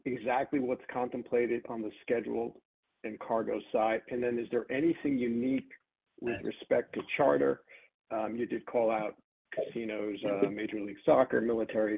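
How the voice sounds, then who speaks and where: A man asking questions as an analyst on an earnings call, halting and piling up clauses over a phone line. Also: medium pitch, vocal fry, husky. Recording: narrow, tinny phone line.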